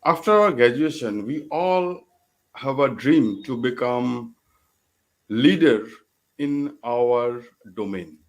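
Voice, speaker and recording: Slow-talking male talk show host. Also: medium pitch. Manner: slow and halting, with many uh fillers. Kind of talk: hosting an online interview.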